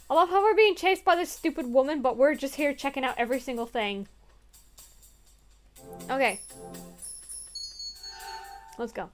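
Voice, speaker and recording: High-voiced young woman reading a game aloud. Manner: reads story text earnestly, breaks into crude swearing jokes.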